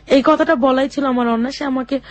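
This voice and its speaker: A young woman telling her own life story in an interview, speaking haltingly with many fillers and restarts. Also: high voice, fairly even intonation, a little mellow, no room sound. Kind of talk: personal testimony in a radio interview.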